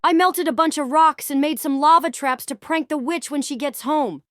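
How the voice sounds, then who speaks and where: A woman voicing a bratty, excitable prankster child in a comic dub. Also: high voice, moderate pace. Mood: excited.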